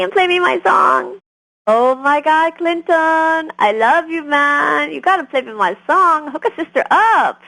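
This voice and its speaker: A woman playing a starstruck radio fan on a call-in line, gushing and pleading in excited slang. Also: sits high, low-quality recording. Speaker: radio caller.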